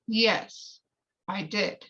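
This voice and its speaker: A woman playing a bitter, measured man testifying at his murder trial in a dramatic screenplay reading, on a lo-fi recording. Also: mid-range voice, a bit bright, voice pushed down. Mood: measured, confessional. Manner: measured, defensive. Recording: dull, lo-fi recording.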